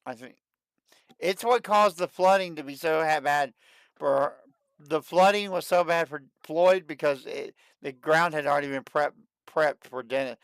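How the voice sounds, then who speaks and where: A man in his late thirties hosting a livestream, chatting casually and excitedly, and often repeating himself. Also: on the high side, measured pace, clean tone, no room sound. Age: 30s. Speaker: livestream host. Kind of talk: casual chat.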